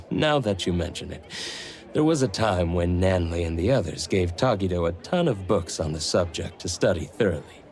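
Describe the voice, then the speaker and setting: Easygoing low-voiced guy. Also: expressive, normal speed, darkish tone. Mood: casual, amused. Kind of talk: anime game dialogue acting. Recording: faint music behind.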